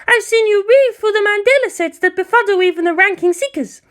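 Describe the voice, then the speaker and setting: A woman's voice: very high-pitched, fairly quick, voice pushed up. A woman voicing a stern, blunt female monk teacher in a storybook reading. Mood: stern, suspicious.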